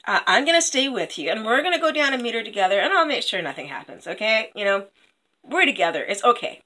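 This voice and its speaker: A clever, loyal young heroine from a storybook, played by a woman narrator. She speaks in a bright, fairly high voice with a casual, protective and reassuring manner. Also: sing-song, quick pace.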